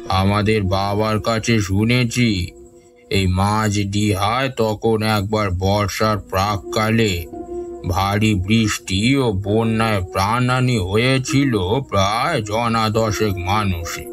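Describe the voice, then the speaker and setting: A man playing a grave village uncle in an audio drama, telling of the past in measured phrases with pauses. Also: on the low side, fairly even intonation, over music. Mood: grave, reminiscent. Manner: measured, pausing storytelling. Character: grave village uncle recounting old local history.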